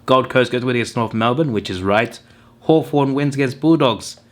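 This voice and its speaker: A hoarse man who is under the weather, hosting a channel and tallying wrong viewer tips with mock exasperation. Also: mid-range voice, normal speed. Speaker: channel host. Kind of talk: casual commentary reading out viewer tips.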